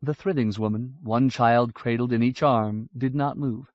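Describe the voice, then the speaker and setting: A man narrating a fantasy audiobook in a measured, even way. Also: mid-range voice, no room sound. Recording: dull lo-fi audiobook recording.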